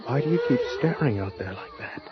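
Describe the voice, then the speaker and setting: An actor playing an anxious, possessive husband who stammers and speaks defensively in an audio horror drama. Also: male voice, medium pitch, lively intonation, a little fast, background noise, close to an everyday voice. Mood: worried, insistent. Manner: agitated, stammering. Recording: muffled, dull audio drama recording.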